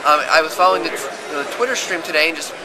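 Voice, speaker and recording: A man's voice: on the high side, quick pace, background noise. A man in his thirties, an exhibitor, answering vox pop questions eagerly and fluently, filmed close on a camera in an exhibition hall. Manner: fluent, eager, lots of "you know". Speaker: exhibitor at a trade show. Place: busy exhibition hall stand. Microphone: camera held close. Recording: loud crowd and music behind.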